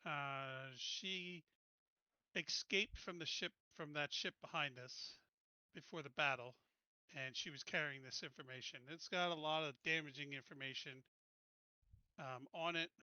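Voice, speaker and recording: A man taking part in a tabletop game, chatting casually and describing his character's actions in an informal, halting way. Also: a little high, bright, forceful tone, clear, resonant tone, no room sound, highs cut off.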